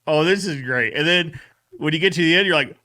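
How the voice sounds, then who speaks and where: Bright-voiced, upbeat man hosting a recap. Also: fairly high pitch, fairly quick. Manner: enthusiastic. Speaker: podcast recap host. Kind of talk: casual chat.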